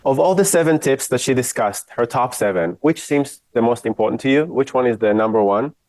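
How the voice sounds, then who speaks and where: A man's voice: mid-range voice, expressive, fairly quick, a little mellow, dry recording. A man from Israel giving a university lecture off the cuff, speaking frankly and giving his own opinions.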